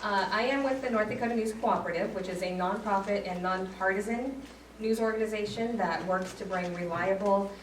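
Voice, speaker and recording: A woman broadcaster with a loud voice, giving a chatty, self-deprecating talk to an audience in a community meeting room. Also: mid-range voice, fairly quick, distant mic. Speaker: guest speaker, broadcaster. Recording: echoey room audio recorded from afar, with noise.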